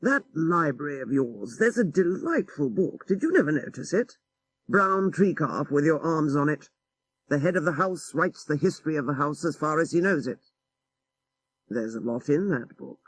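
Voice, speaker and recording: A man voicing a worldly, bookish gentleman in an audiobook story, urbane, knowing and deliberate. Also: on the high side, animated, breathy edge, no echo. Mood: urbane, teasing.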